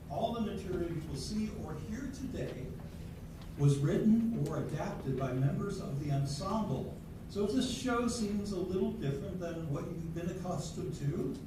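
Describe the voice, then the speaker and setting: An older man, a cast member, welcoming the audience with a genial, rambling speech full of fillers in a senior center hall. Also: high-pitched. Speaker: ensemble member introducing the show. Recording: echoey hall, distant mic, noise.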